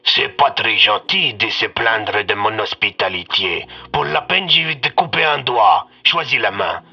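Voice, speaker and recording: A man voicing a mocking, sadistic, falsely friendly villain in an audio drama, slow and theatrical, over a radio. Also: lowish voice, animated, vocal fry, slightly rough, dull audio, exaggerated voice. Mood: menacing. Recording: band-limited radio sound with music.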